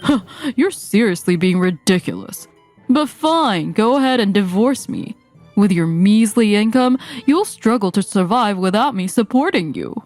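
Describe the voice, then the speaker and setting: A domineering, arrogant husband and office boss, played by a woman in a manga dub. He talks down to everyone and snaps into angry shouting whenever he is crossed. The voice sits at an ordinary middle pitch, with faint music underneath. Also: expressive, lightly acted. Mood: scornful. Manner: domineering, scornful, quick to shout.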